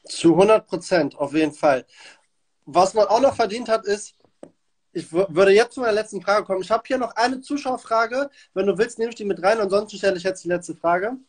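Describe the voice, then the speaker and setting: A man with a high voice hosts a relaxed livestream interview. He talks in informal, chummy phrases, as in a casual chat at home. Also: a little fast. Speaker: host of a livestream interview show. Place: indoors, small room at home.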